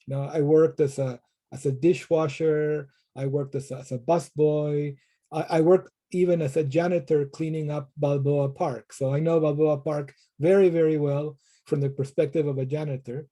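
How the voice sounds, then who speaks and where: A man's voice: a little high, fairly even intonation, moderate pace, warm tone. A middle-aged man from Peru, a professor answering interview questions thoughtfully, often repeating words as he restarts. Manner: thoughtful, frequent word repetitions and restarts.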